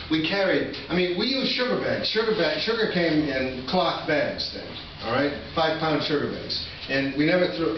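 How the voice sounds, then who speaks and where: A man's voice: mid-range voice, highs cut off. An older man from Massachusetts, giving a live poetry reading with informal, reminiscing asides. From Massachusetts, USA. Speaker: poet. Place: indoor college reading venue, recorded from a distance. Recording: distant, echoey room recording with noticeable noise.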